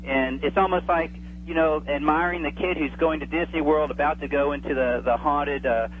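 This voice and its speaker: A man's slightly high voice comes through a thin phone line with faint noise. He is an enthusiastic expert guest, chatting casually in a radio interview. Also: fairly even intonation, moderate pace. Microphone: telephone call. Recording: tinny phone line with hum and hiss.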